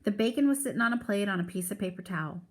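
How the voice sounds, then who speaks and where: A woman reads a children's novel aloud in the manner of a classroom teacher. Her voice sits at a middle pitch. She tells the story expressively and shifts into voices for the characters. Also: lively intonation, very fast.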